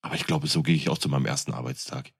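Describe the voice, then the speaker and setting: A man's voice: extremely low pitch, fairly even intonation, racing, slightly rough. A man co-hosting a podcast, chatting casually in crude, slangy language. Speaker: podcast co-host. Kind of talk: casual chat.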